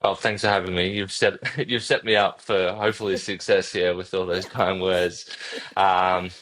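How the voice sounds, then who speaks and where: Laid-back man with a deep voice. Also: flat delivery, normal speed, a bit bright. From Australia. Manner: laid-back, chuckles often, casual swearing. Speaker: podcast guest, drinks brand founder. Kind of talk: casual interview chat.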